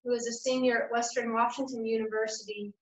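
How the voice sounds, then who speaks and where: A woman leading a congregation through liturgical prayers in a measured, steady reading voice, standing at a lectern in a small room at home and streamed over a webcam. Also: fairly high pitch. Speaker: church worship leader. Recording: echoey room, dull lo-fi sound.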